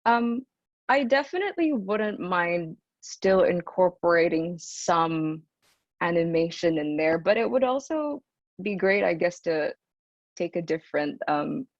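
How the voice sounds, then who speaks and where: A woman singer with a deep, low alto voice, talking over a video call, soft-spoken and hesitant with many "um"s. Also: lively intonation, unhurried, no room sound. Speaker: singer, interview guest. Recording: dull, dry video-call audio.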